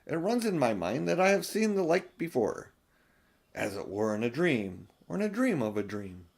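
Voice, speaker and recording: A man's voice: medium pitch, very animated, normal speed, creaky. Man reading as a noble, solemn king. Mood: wondering. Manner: formal, archaic, courtly.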